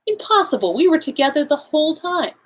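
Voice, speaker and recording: A woman voicing an earnest, excitable gentleman, a detective's eager and questioning companion, in an audiobook. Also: high-pitched, a little fast, pitched above the performer's own voice. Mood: excited, incredulous. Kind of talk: audiobook character dialogue.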